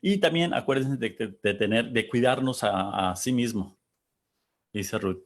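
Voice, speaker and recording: A man from Texas hosting a panel, talking casually and reflectively, pausing to search for words and reading out audience comments. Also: medium pitch. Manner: thoughtful, searching for words, pauses.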